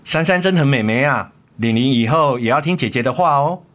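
A man acting a remorseful, devoted middle-aged father in a radio drama, gentle, tender and coaxing. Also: mid-range voice, lively intonation, a little fast, natural voice. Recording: music under the voice.

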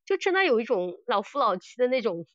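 A middle-aged woman co-hosting a podcast in casual chat, animated and blunt as she talks through audio dramas. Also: fairly high pitch, fast talker, no room sound, muffled recording. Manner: animated and blunt, mimics characters' lines. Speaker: podcast host.

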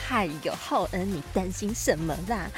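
A woman's voice: medium pitch, moderate pace, soft background music, natural voice. A woman acting as a carefree, coaxing young dorm mate in an audio drama, speaking Taiwanese Mandarin. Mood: breezy, cajoling.

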